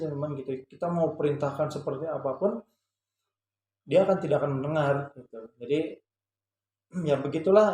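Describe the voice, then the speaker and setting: Mellow man in an echoey room. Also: on the high side. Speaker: vlogger and parent showing a product. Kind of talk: casual explanatory vlog chat. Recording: echoey room with faint background noise.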